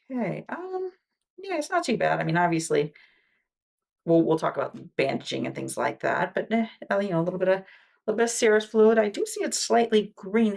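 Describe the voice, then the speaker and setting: Woman, low gravelly voice, as a reassuring clinician in ASMR exam roleplay; soft, with whispered asides. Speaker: ASMR roleplay creator playing a wound care clinician.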